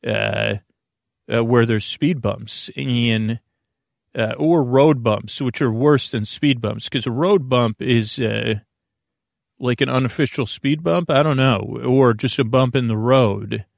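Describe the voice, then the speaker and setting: A man with a creaky voice hosting a bedtime podcast, rambling in a lulling, halting way and often interrupting himself as he drifts into tangents. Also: medium pitch, no room sound, clean studio sound. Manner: meandering, halting, digressive, self-interrupting; soothing, lulling. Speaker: podcast host.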